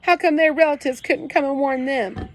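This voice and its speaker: A woman's voice: high voice, lively intonation, moderate pace, slight background noise, voice pushed up. A woman playing a sobbing, ashamed young boy. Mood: tearful pleading. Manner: choked, pleading, trembling. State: crying. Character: tearful ten-year-old boy, sensitive and ashamed.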